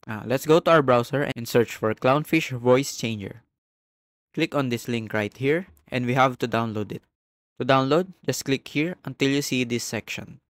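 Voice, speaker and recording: A man narrating a step-by-step software tutorial as the host. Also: medium pitch, normal speed, no echo, clean studio sound.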